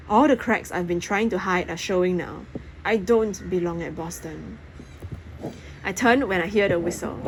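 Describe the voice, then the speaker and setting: A woman's voice: on the low side, a little hiss or hum, low-quality recording. A woman reading a first-person story aloud, expressively and dramatically. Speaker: audiobook reader.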